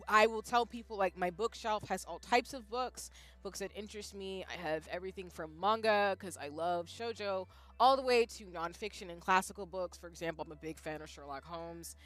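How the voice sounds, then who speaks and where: A young woman streamer talking casually and animatedly to her chat, jumping between loud bursts and soft asides, often poking fun at herself. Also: mid-range voice. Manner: self-deprecating, reads out chat. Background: faint background music.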